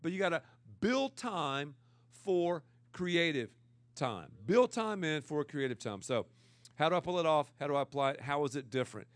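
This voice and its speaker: A pastor with a naturally loud voice who teaches with energy and repeats words for emphasis. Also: male voice, a little high, lively intonation, normal speed, no room sound. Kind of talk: energetic teaching talk to church leaders.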